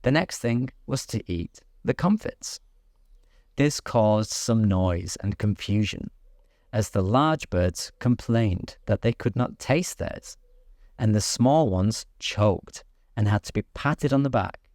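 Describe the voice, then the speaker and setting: A man narrating a children's bedtime story in a soothing, measured, expressive way. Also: fairly low pitch, no echo, clean studio sound.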